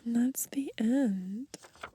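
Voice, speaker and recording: Softly whispering young woman, breathy and mellow. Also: on the high side. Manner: unhurried, gently musing asides. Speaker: ASMR host. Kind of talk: whispered ASMR, browsing and reading from a book. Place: outdoors on grass.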